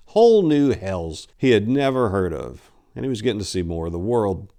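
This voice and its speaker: Male narrator, forties, lowish pitch, theatrical and deliberate, for dramatic short-story readings. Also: a little mellow. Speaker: host, author reading own short story. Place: indoors, book-lined room. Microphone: lapel mic.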